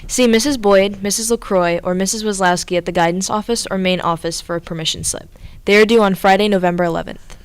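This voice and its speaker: A woman's voice: mid-range voice, fairly even intonation, fast, smooth. A young woman reading scripted school announcements as a student presenter.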